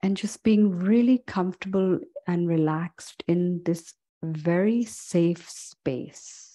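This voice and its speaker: Female meditation guide, low-pitched and very slow, gentle, leaving long pauses. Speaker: yoga and meditation instructor.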